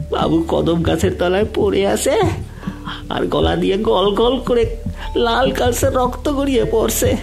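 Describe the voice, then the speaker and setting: A man's voice: very high-pitched, gravelly, a little mellow, heavily acted. Man playing an anxious, deferential household cook, music behind. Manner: deferential, halting, testifying as a servant. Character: meek forty-year-old male household cook, anxious, deferential. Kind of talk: Bengali audio drama acting.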